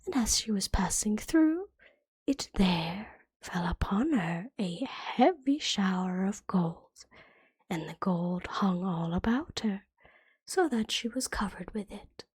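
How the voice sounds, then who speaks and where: Woman, ASMR storybook narration, soft breathy whisper, very dry close sound, unhurried pace. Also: mid-range voice, expressive, no room sound. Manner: gentle, careful storybook reading. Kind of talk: whispered ASMR fairytale reading.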